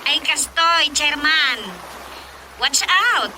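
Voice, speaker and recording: Teasing girlish daughter, Filipino accent, slow delivery, radio drama, faint noise behind. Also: high-pitched, pushed and bright, heavily acted, low-quality recording. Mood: playful, informing. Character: playful adult daughter, sweet and teasing with her father. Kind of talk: Ilocano radio comedy drama acting.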